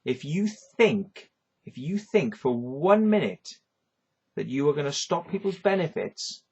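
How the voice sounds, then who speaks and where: A man's voice: a little high, very animated, measured pace, a little mellow, breathy edge, dull audio. A man with a Welsh accent delivering a rambling, swearing rant, tagging lines with "yeah" and "right". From Wales. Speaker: vlogger. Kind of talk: ranting monologue to camera.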